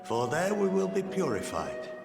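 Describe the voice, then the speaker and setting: A man's voice: a little high, wildly swooping pitch, normal speed, vocal fry, heavily acted. An eloquent, lilting scholar-statesman in a game. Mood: measured, didactic. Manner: formal, eloquent, didactic. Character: erudite, measured male scholar and statesman, gently wry. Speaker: game character, scholar and council member. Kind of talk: scripted video game dialogue, lecturing. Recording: game audio with echo, loud music. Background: loud music.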